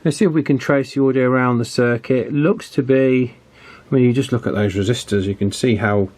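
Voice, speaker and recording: Guy at his workbench fixing a radio, lowish voice, lots of ums and restarts, just chatting to the camera. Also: a little mellow. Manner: thinks aloud with fillers and restarts. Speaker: radio repair hobbyist. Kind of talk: casual workbench tutorial.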